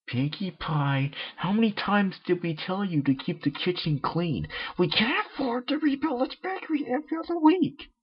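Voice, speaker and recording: Man putting on a shrill scolding pony mother. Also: very animated, moderate pace, hardly any pauses. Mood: furious, scolding. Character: exhausted, furious motherly pony baker. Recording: dull lo-fi home recording.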